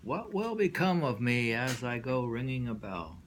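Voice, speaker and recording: A man reading poems aloud with informal commentary, unhurried and musing, leaving pauses as he goes. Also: mid-range voice, expressive, slight background noise. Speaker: poetry reader.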